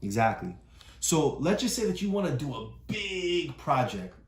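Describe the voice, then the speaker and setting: A woman with a deep voice and animated, up-and-down intonation explains a story to a child, encouraging and patient. The sound has noticeable room echo and a faint hiss behind it. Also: normal speed. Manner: encouraging, keeps checking understanding. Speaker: parent coaching a child through a read-aloud.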